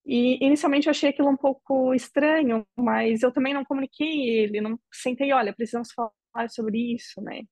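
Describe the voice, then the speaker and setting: A Brazilian woman interviewee telling her personal story in a measured, reflective way, often ending phrases with a questioning tag. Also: high voice, steady tone, darkish tone, no echo. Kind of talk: emotional personal testimony.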